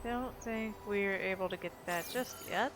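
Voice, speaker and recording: A woman's voice: medium pitch, measured pace. Woman casually narrating a video game. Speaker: gameplay video host. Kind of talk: casual game playthrough commentary. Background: game music and sound effects.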